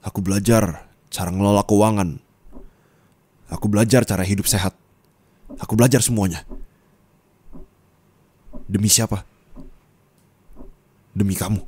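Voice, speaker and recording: A young man voicing an angry, heartbroken young man who scolds his partner, swinging from shouting to low bitter muttering, in an ASMR roleplay. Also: fairly even intonation, unhurried, pauses often, breathy edge. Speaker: roleplay voice actor.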